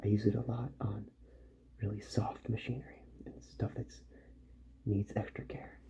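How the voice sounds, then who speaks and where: A man speaking softly, slowly and soothingly in an ASMR roleplay as a repair doctor, often slipping into a whisper. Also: on the low side, flat delivery, highs cut off.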